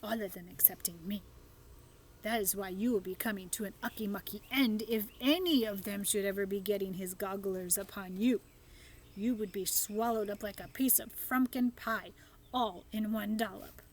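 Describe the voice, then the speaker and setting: A woman's voice: medium pitch, moderate pace, a little hiss or hum, lightly acted. A woman voicing a big friendly male giant in a storybook, with garbled folksy grammar and made-up words. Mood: earnest.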